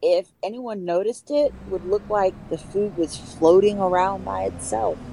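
A woman playing a carefree, reckless joyrider in an audio drama, with a lively conversational delivery. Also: medium pitch, a little slow, creaky, a little hiss or hum, low-quality recording. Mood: carefree, plotting. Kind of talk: amateur audio drama dialogue.

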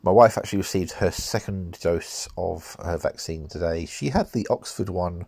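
Deep, nasal man with a cold. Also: gravelly, a little mellow, husky, dry recording. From United Kingdom. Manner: measured, rambling, self-correcting. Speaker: podcast host. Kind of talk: solo podcast monologue.

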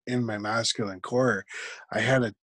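A man, a guest in an interview, talking reflectively and haltingly, with frequent restarts. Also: lowish voice, steady tone, a bit bright, dry recording. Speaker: podcast guest. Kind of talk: conversational interview.

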